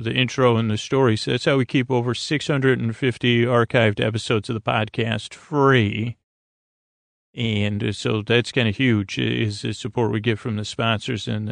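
A man with a creaky voice hosting a sleep podcast, talking in a soothing, hesitant, meandering bedtime monologue full of stammered tangents. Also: medium pitch, no echo. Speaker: podcast host.

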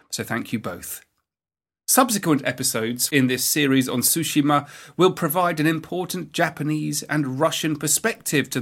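A British man hosting a podcast, reading scripted history narration in a measured, emphatic presenter style. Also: medium pitch, a bit bright. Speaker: podcast host.

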